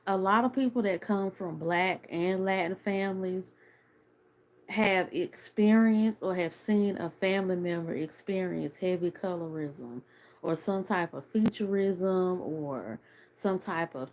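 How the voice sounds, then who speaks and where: A woman's voice: medium pitch, a little slow, a little mellow. A woman in her thirties giving a casual, opinionated video review, chatty and full of fillers. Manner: chatty, many fillers like "like" and "you know"; earnest, opinionated. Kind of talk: casual commentary to camera.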